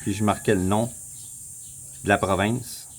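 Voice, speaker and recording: A man with a Québécois accent, casually walking viewers through a map on his screen, rambling, repeating himself and clearing his throat often. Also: on the low side, steady tone, unhurried. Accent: Québécois French. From Quebec. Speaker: vlogger. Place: home in the Philippines.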